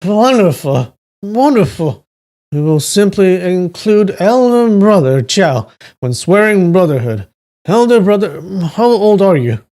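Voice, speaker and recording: Drunk young nobleman played by a male reader: raised pitch, slurred words, effusive storybook declamation. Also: very animated, warm tone. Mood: drunken elation. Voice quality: slurred, drawn-out drunken speech. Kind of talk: audiobook character voice.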